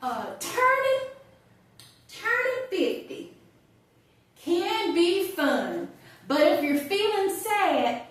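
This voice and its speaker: A woman's voice: very high-pitched, fairly bright tone, pitched above the performer's own voice, heavily acted. A woman performing a theatrical monologue as a chatty, wry older woman, conversational and hesitant. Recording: distant, very echoey room.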